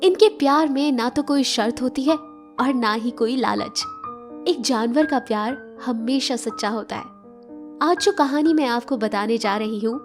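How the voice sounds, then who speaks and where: A woman with a high voice narrates a scripted story in an emotional storytelling style. Music plays behind her as she reads. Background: background music.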